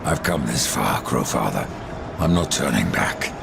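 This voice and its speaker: An actor playing a grim, resolute Rider of Death in a video game, with a very gravelly voice and terse, solemn delivery. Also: male voice, big pitch swings, unhurried, full character voice. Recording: clear background rumble.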